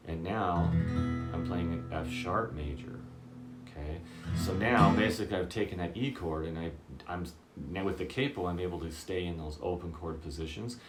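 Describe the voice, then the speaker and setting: A man with a very low voice giving a casual guitar lesson, checking in often with "okay?" and "does that make sense?". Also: roomy sound. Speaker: guitar teacher.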